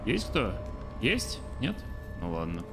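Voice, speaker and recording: A man's voice: on the low side, huge pitch swings, takes it slowly, gravelly, music in the background. A man in his thirties streaming a game from a small room, chatting casually, thinking aloud and answering his chat. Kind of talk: casual let's-play commentary. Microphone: studio mic on arm by face.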